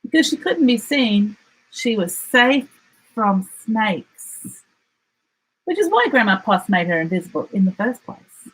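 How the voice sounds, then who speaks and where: A woman with an Australian accent reads a children's picture book aloud, expressively and with deliberate dramatic emphasis. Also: on the high side, very animated, fairly bright tone, breathy edge, rough recording. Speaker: storyteller.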